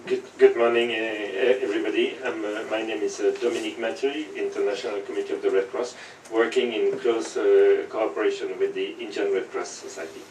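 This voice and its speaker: Man, lowish voice, halting formal introduction, echoey room. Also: steady tone, a little mellow. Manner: halting, hesitant. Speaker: Red Cross delegate. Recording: echoey room, distant mic, faint noise.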